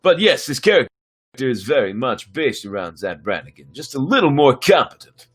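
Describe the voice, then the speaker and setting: A man's voice: mid-range voice, sing-song, normal speed, slightly rough. A man hosting a story-time livestream, chatting casually between dramatic readings. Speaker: host and story reader. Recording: music behind the voice.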